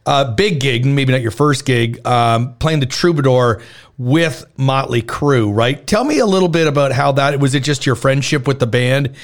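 A man hosting a radio show, interviewing a caller in a relaxed, chatty way with long, rambling questions. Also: medium pitch, moderate pace. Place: Toronto.

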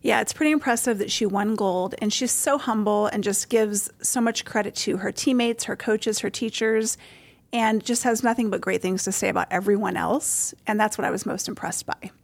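A woman's voice: mid-range voice, fairly even intonation, moderate pace, creaky, dry recording. A woman hosting a podcast in a conversational interviewing style. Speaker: podcast host.

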